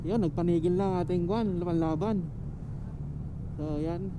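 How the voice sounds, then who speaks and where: A man's voice: high voice, normal speed. A Filipino man chatting and joking over a helmet intercom as he rides, giggly and teasing, often repeating his phrases. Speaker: motovlogger. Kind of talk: casual joking chat and commentary over intercom while riding. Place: outdoors on a moving motorcycle. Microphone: helmet intercom headset. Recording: clear road and engine noise.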